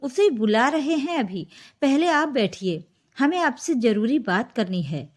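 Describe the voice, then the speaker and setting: Scornful high-voiced mother-in-law, played by a woman. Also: expressive, unhurried. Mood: stern. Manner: sharp-tongued, accusing. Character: scornful, accusing middle-aged mother-in-law.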